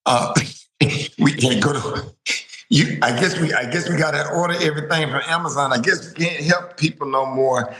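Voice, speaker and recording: A man co-hosting a commentary show, reacting in an animated way and repeating words as he talks. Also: fairly high pitch, moderate pace, reverberant, low-quality recording. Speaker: co-host. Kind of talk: casual commentary.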